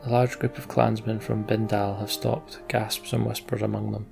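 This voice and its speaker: A man's voice: fairly low pitch, flat delivery, moderate pace, gravelly, a little mellow, faint music behind. A man hosting a let's play, commenting on a game and narrating its story.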